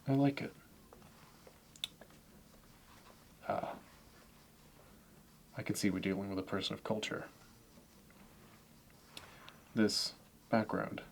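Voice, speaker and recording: A man playing a smug, meticulous Manhattan investment banker in an ASMR roleplay, speaking in a measured, self-assured way. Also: lowish voice, slight background noise. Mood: fastidious, appraising.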